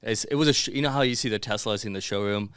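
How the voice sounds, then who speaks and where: A man's voice: lowish voice, fast, fairly bright tone, clear, resonant tone, no room sound, studio quality. A man from Miami by way of Bangladesh and Dubai, chatting casually as a podcast guest in an informal, slangy way. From born in Bangladesh, grew up in Dubai and Miami. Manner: informal and chatty, peppered with slang like bro and dude. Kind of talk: casual interview chat.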